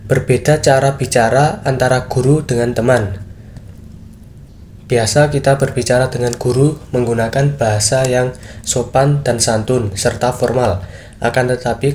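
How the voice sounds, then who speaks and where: A teenage boy talking through a school presentation in a mid-pitched voice. He explains each point earnestly and plainly, now and then pausing to restart a phrase. A faint noise sits under the recording. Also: darkish tone. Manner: earnest, with frequent fillers and restarts. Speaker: student presenter. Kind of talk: class presentation, explaining material.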